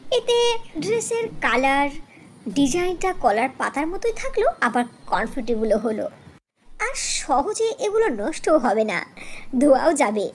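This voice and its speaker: A woman's voice: sits high, very animated, unhurried, a little hiss or hum, full character voice. A woman voicing an earnest, anxious young village daughter-in-law who sews dresses, in a fairy-tale cartoon, speaking respectfully. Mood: excited.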